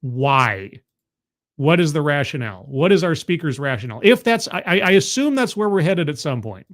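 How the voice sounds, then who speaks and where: A man's voice: fairly high pitch, very animated, normal speed, a bit bright. Wry, skeptical male streamer. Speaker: streamer reacting to a video. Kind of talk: casual live-stream commentary.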